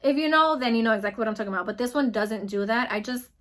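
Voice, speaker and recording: A woman in her twenties with a New York accent talks fast and emphatically, bubbling through her favorite products. Her voice sits a little high. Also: a bit bright. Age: 20s. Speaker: beauty vlogger. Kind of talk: casual product review vlog.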